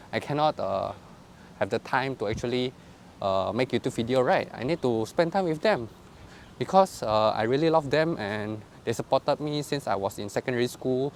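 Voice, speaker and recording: A man's voice: medium pitch, a little hiss or hum. A young man, chatting to the camera as a vlogger while walking in a park, dropping lah and ah into loose, chatty sentences. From Indonesia. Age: 20s. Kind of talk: casual chat to camera. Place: outdoor park path at night.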